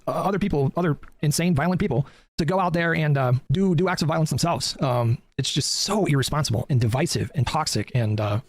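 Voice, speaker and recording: A man's voice: fairly high pitch, no room sound. A man giving earnest political commentary with many fillers, worn out and losing his voice after talking all day.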